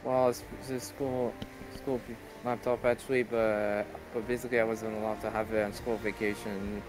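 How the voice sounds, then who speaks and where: A man in casual voice chat while gaming, explaining things in halting, rambling stretches. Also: lowish voice, little pitch movement, music in the background, poor audio.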